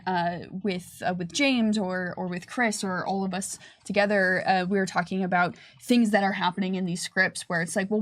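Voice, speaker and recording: A woman answering questions conversationally on a livestream, explaining at length with frequent hesitations and restarts. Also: medium pitch. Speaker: game developer presenting on a livestream. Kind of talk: unscripted livestream Q&A.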